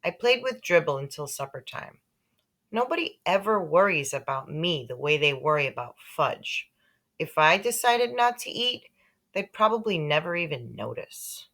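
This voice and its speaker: A woman narrates a children's story as a read-aloud. Her voice sits slightly low but moves around a lot, giving the telling an expressive, animated shape. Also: moderate pace. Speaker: story reader.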